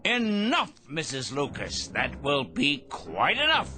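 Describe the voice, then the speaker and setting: An actor playing a cold, self-righteous crank obsessed with punishing evil in a radio drama, pompous and sneering in formal, precise diction. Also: male voice, mid-range voice, huge pitch swings, slow, pushed and bright, soft background music, full character voice. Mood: affronted, sneering.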